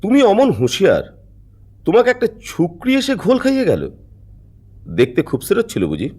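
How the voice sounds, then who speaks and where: A man's voice: sits high, huge pitch swings, a little fast, darkish tone, slightly rough, heavily acted. A man playing a sly, watchful bandit henchman in a theatrical cartoon. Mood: coaxing, teasing.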